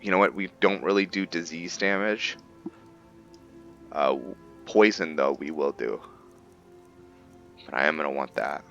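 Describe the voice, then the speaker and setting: Very deep-voiced man musing over gameplay. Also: a little slow, vocal fry, long pauses, sharp tone, highs cut off. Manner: musing, thinking aloud to himself. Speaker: gaming video host. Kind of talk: casual roleplay gameplay commentary. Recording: background music under voice. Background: game music.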